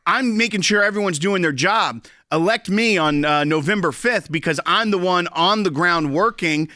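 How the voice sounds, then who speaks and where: A man's voice: sits high, normal speed, pushed and bright, dry recording, clean studio sound. A man giving emphatic political commentary as a co-host, driving key points home by repetition. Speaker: talk show co-host.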